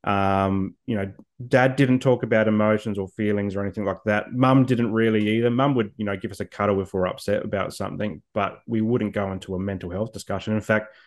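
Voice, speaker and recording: A man's voice: on the low side, steady tone, brisk. A man in his forties, speaking reflectively as an interview guest, with frequent ums and repeated words. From Australia. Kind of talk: personal story in an interview.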